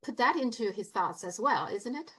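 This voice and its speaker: A woman's voice: on the high side, animated, moderate pace, crowd noise. A woman speaking conversationally as an interviewer.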